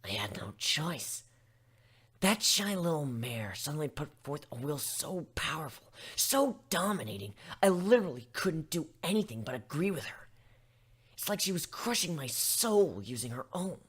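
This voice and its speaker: Husky woman narrating a story. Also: deep voice, big pitch swings, normal speed. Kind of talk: scripted dramatic narration.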